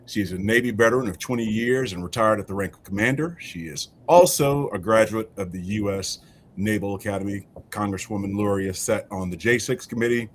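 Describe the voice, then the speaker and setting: A man from Alabama hosts a podcast in a deep, low voice. He speaks emphatically in a loose, conversational way and sometimes restarts his sentences. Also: slight background noise. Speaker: podcast host. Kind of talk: podcast hosting and interviewing.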